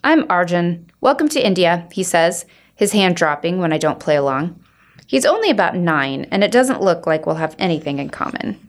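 A woman reading a children's book aloud as an expressive storyteller, acting out the dialogue. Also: fairly low pitch, moderate pace.

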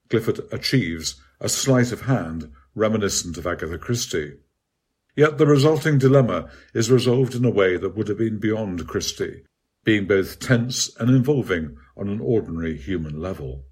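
A man with a low-pitched voice narrates a scripted literary essay. His tone is noticeably husky and a little rough-edged. He reads in a measured, bookish manner, as an author presenting his own writing. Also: darkish tone. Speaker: author reading own article.

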